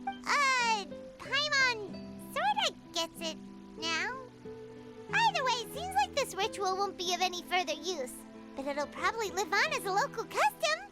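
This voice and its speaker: A tiny floating fairy companion, piping in a very high, bouncy voice full of eager questions. She talks about herself in the third person with chirpy curiosity. Loud music plays behind her. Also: very animated, measured pace, full character voice. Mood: curious. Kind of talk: game character dialogue.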